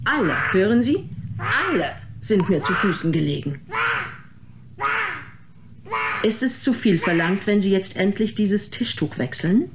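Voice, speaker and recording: Vain, aging film diva, muffled and noisy. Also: female voice, mid-range voice, sing-song, moderate pace. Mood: outraged. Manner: haughty, melodramatic complaining. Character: vain, theatrical, aging former film diva, easily outraged. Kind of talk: German radio drama acting. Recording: dull, noisy sound with ambience.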